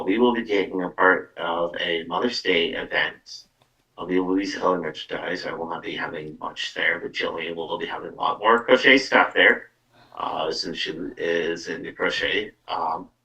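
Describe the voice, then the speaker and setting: Deep-voiced Canadian man, muffled and distant. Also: gravelly, pushed and bright. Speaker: podcast host and psychic medium. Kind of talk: casual live show chat with announcements.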